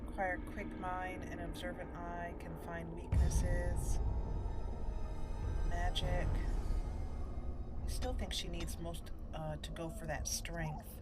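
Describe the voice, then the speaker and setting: A woman's voice: fairly low pitch, flat delivery, takes it slowly, long pauses, loud music behind the voice. A woman casually commenting on her role-playing game as she plays, thinking aloud and reading out the game's text. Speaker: let's play host.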